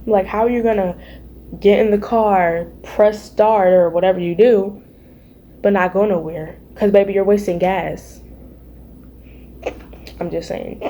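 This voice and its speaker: A teenage girl with a raspy, mellow voice, talking loosely and playfully about her faith and calling her listeners baby and y'all. There is a faint hum of room noise behind her. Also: mid-range voice, fairly even intonation, measured pace, pauses often. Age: teens. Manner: chatty and playful, calls viewers y'all and baby. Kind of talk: casual faith vlog chat. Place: home, early morning.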